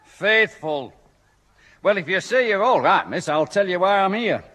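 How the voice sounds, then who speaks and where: A high, animated voice for a polite but indignant middle-aged father. He hesitates and exclaims formally, in 1960s radio sitcom manner. Long hall reverb colours the old broadcast. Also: a little slow, full character voice. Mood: earnest.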